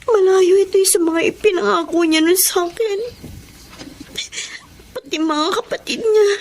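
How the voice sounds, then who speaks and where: A very high, pleading young woman's voice, playing a mistreated wife in a radio drama. She sounds tearful and distressed, over a clearly noisy track. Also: measured pace, darkish tone. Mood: anguished, tearful. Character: distressed young live-in wife, abused and overworked by in-laws. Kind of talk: Filipino radio drama acting.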